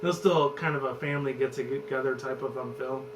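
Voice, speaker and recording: Hoarse young man rambling in a roomy space. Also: mid-range voice, fairly even intonation, brisk. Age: 20s. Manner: loose and profane, rambles and restarts. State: hoarse from screaming. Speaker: channel host. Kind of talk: rambling casual movie chat. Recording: roomy, distant, noisy with hum.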